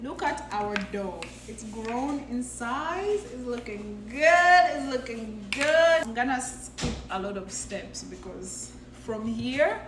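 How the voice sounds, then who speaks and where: A young woman who grew up in Uganda, chatting casually as she bakes in her home kitchen and thinks aloud while she works. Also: on the high side. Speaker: baking vlogger. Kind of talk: casual kitchen vlog talk. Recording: roomy kitchen with background noise.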